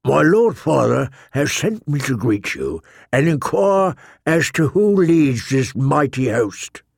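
A man's voice: mid-range voice, big pitch swings, takes it slowly, vocal fry, husky, no echo, studio quality, heavily acted. Audiobook part, male reader: elderly knight envoy, sixties, weary, weaselly, unfailingly polite and formal. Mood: courteous. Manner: courteous, formal. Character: polite old knight envoy past sixty, tired, weaselly.

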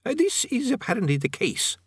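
Man acting a polite, high-voiced elderly prisoner. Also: very animated, brisk, husky, no echo, studio quality, full character voice. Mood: helpful, resigned. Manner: polite, hesitant, quaintly formal. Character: elderly, good-natured, faintly worried male prisoner.

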